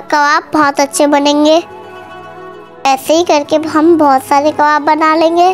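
A young-sounding female cooking host, narrating a recipe step by step in voice-over. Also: high-pitched. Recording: music behind the voice. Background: background music.

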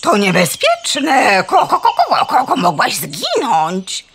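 A woman's voice: a little high, wildly swooping pitch, slow pace, a bit bright, slight background noise, exaggerated voice. A woman playing a gossipy, frightened farmyard hen in a children's audio play, melodramatic and full of stuttering clucks. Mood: alarmed.

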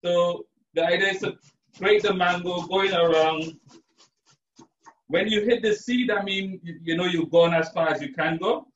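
Chatty chef on a roomy video call. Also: male voice, sits high, moderate pace. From Trinidad. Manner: relaxed chatty explainer, many fillers. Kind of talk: live cooking demo. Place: home kitchen indoors. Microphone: far camera, video call. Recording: roomy lo-fi sound from far off.

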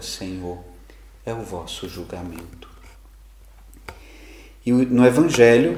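A middle-aged priest preaches in a very low, deep voice, measured and reflective, pausing for emphasis. His voice rings in an echoey room with faint noise behind it. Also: a little mellow. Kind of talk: homily.

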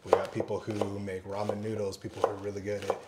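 A young man, a firefighter-paramedic, calmly explaining station life while cooking, with polite "yes, ma'am" replies. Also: fairly low pitch, quick pace. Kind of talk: casual cooking chat. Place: large fire station kitchen, indoors. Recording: clear background noise.

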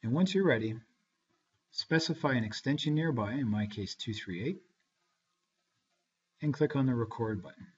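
A man gives measured, step-by-step software instructions as a tutorial narrator. His voice sits at an average male pitch. The recording is a slightly muffled, lo-fi screencast voice-over. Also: lively intonation, husky.

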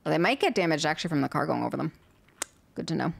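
A woman's voice: low voice, expressive, very fast, creaky, dry recording. A young woman streaming a game from home, chatting to herself as she builds, her voice a little worn. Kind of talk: casual gameplay commentary.

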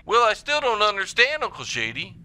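An actor playing a naive, eager young nephew who keeps asking questions in a comedy audio drama. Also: male voice, sits high, huge pitch swings, brisk, very noisy. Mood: naive, earnest.

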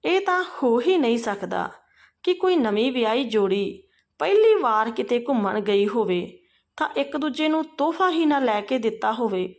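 A woman's voice: a little high, big pitch swings, moderate pace. A woman voicing a caring, perceptive and persistent sister-in-law in a family story, earnestly questioning and advising. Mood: probing, insistent.

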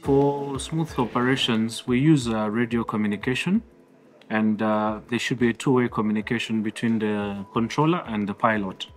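A man around his thirties with a Zambian accent, explaining his controller work in an interview in measured, halting phrases. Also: medium pitch. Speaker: air traffic controller, approach. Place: office-like radar control room, indoors. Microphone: lapel. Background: background music.

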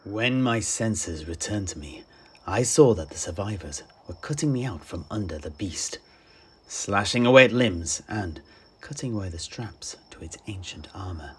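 A man narrating a fantasy tale in the first person, theatrical and deliberate, with long pauses. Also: low-pitched, expressive, slightly rough. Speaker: storyteller, host.

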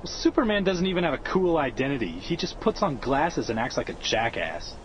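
An actor playing a defensive, bookish young American soldier who makes indignant, quick retorts. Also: male voice, mid-range voice, very animated, slight background noise, close to an everyday voice, poor audio.

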